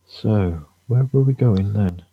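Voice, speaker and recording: A man chatting casually as a podcast host, halting and rambling, often chuckling. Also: lowish voice, husky. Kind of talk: casual remote chat. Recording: dull, roomy remote call audio.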